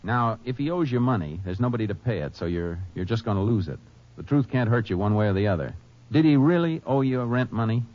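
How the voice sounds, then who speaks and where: An actor playing a calm Texas Ranger who narrates a 1950s radio drama, talking in a steady, matter-of-fact way. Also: male voice, mid-range voice, a little fast, natural voice. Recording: dull lo-fi old radio recording with faint hiss.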